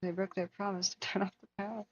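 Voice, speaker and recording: Woman livestream host, husky and hoarse, earnest chat, slightly muffled lo-fi audio. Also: medium pitch, flat delivery, quick pace, no echo. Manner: earnest, talks to chat viewers by name. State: hoarse, losing her voice. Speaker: wildfire tracking livestream host.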